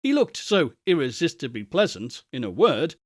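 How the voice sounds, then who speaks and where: A man narrating a classic tale as a storybook reader, in an expressive literary style. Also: on the high side, normal speed, dry recording, studio quality.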